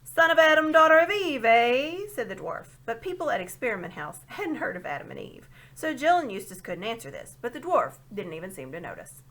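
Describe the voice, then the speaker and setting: A woman reading a storybook aloud as an expressive narrator. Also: medium pitch, fairly quick, few pauses. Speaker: storybook reader.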